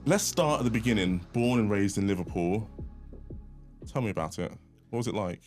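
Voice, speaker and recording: A man with a fairly low voice hosts an interview show. He introduces and questions a guest, and there is faint music behind him. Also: lively intonation, fairly quick, a little mellow. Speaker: podcast interviewer and host.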